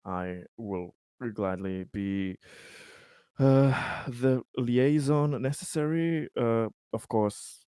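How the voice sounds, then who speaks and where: A man's voice: mid-range voice, extremely slow, warm tone, clear, resonant tone, dry recording, clean studio sound. A man improvising in-character roleplay dialogue, speaking formally and hesitantly and often repeating phrases. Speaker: tabletop roleplayer.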